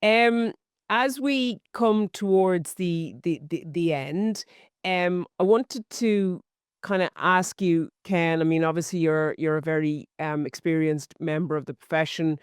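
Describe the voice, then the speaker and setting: A woman hosting an interview podcast, encouraging and warm, often repeating words as she finds her phrasing. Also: fairly low pitch, measured pace, smooth, no room sound, studio quality. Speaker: podcast host.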